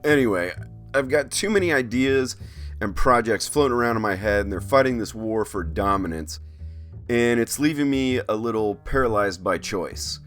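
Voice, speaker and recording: A man's voice: medium pitch, expressive, normal speed, a bit bright, soft background music. A man narrating a scripted art vlog as host, with casual asides and a theatrical, playful, self-interrupting manner.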